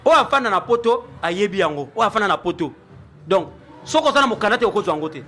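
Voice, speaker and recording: A man from Congo who plays music, chatting casually in an animated way, repeating himself and laughing often. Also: sits high, fast talker. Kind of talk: casual chat.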